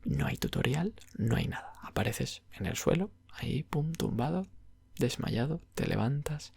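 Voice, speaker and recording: A man with an accent from Spain chatting softly and unhurriedly in ASMR style, breathy, creaky and half-whispered. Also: mid-range voice, dry recording. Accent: Spanish (Spain). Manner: unhurried, rambling. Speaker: ASMR roleplayer as video game shop clerk. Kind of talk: ASMR roleplay, soft casual chat about games.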